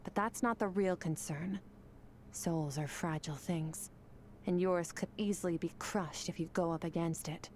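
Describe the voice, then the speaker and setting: A woman's voice: lowish voice, normal speed, darkish tone, slight background noise, close to an everyday voice. A woman voicing a cold, aloof female warrior who speaks in a curt, detached manner, in game dialogue.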